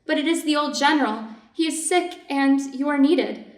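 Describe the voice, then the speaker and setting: A woman's voice: high-pitched, moderate pace, lightly acted. A woman playing an anxious, devoted doctor's wife in a reader's theater piece, earnest and careful in her delivery. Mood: anxious, relieved. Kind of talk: reader's theater stage reading. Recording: echoey room, distant mic.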